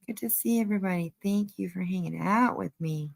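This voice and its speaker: A woman's voice: lowish voice, a little fast, gravelly. A woman hosting a livestream, chatting and commenting casually in a hesitant, rambling way. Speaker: livestream host.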